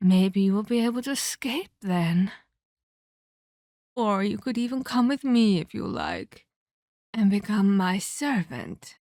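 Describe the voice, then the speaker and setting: A woman playing a haughty, menacing vampire elder in a scripted ASMR roleplay, speaking in a deliberate, taunting, condescending way with formal phrasing. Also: mid-range voice, very animated, a little mellow, dry recording, clean studio sound. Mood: tempting, sinister.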